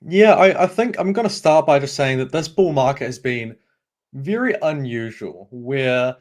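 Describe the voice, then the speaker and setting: A young man from New Zealand with a New Zealand accent, a guest explaining markets in a relaxed, upbeat way. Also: medium pitch, normal speed. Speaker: guest analyst. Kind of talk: casual explanatory interview.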